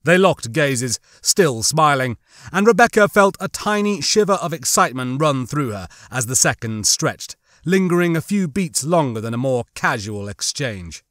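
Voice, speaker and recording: Lively, higher-pitched male audiobook narrator. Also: moderate pace, no echo, clean studio sound. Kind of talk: scripted dramatic fiction narration.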